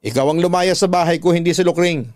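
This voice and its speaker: Man as angry older father, Filipino accent, stern, scolding, commanding, unyielding, raised pitch, dry radio drama sound. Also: fairly even intonation, quick pace, a little mellow, no room sound, natural voice.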